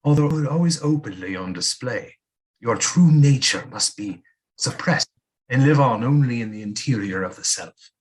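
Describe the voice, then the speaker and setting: An actor playing a flattering, theatrical male seer and physician to a queen in an audio play, speaking in a grand, courtly, dramatic manner. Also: medium pitch, moderate pace, close to an everyday voice, poor audio. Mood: theatrical, mysterious.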